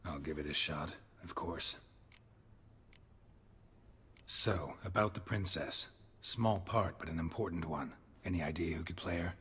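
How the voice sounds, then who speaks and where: An actor playing a gruff, laconic witcher in a video game, with a gravelly voice and terse, dry delivery. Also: sits low, a little slow, long pauses, a bit bright, big-room sound, exaggerated voice. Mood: calm, dry. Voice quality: gravelly, husky. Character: gruff, laconic male witcher with cat eyes. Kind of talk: video game dialogue acting.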